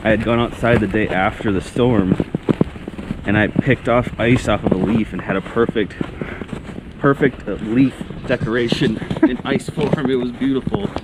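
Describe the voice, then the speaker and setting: A man's voice: medium pitch, normal speed. A man chatting casually as a companion on an outdoor walk. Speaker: hiking companion. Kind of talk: casual chat while walking. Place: outdoors on a snowy woodland trail. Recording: very noisy outdoor recording.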